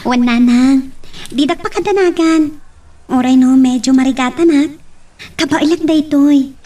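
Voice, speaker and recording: A woman's voice: sits high, normal speed, dark tone, recorded from a distance, natural voice. A woman playing a pert but caring young daughter in a radio-style drama.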